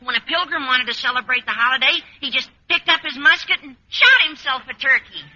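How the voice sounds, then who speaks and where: A man playing an eager, guileless high-school boy in an old radio comedy, gushing earnestly with an adolescent crack in his delivery. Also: fairly quick, sharp tone, full character voice. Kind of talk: 1940s-50s radio sitcom acting. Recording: muffled vintage radio recording.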